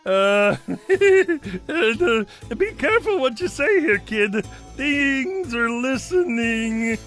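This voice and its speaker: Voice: mid-range voice, very animated, extremely slow, fairly bright tone, soft background music, heavily acted. A woman voicing a timid, loyal cartoon sidekick who talks in folksy slang with a bit of Spanish. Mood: nervous. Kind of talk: animated cartoon voice acting.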